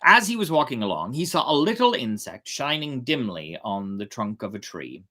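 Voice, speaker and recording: A woman with a low voice narrates a storybook. Her delivery is theatrical and extremely animated, shaping each phrase dramatically. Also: moderate pace. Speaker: storyteller, host.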